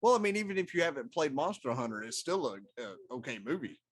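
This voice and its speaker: A man's voice: on the high side, big pitch swings, fast talker. A middle-aged man co-hosting a talk show in casual, conversational style. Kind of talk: casual chat about pop culture.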